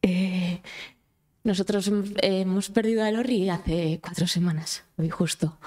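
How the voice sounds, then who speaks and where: A woman in her thirties whose first language is Basque, speaking with a Basque accent into a handheld mic in a conference room, telling a personal story in halting speech with many pauses. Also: on the low side, fairly even intonation, darkish tone. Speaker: audience member sharing her story. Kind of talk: emotional personal testimony. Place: conference room of a cultural centre. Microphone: handheld microphone.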